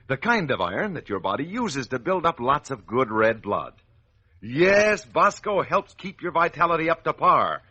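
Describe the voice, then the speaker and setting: Male 1940s radio announcer-narrator, lively swoops, slightly rough bright tone, emphatic storytelling. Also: a little high. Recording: old radio broadcast recording.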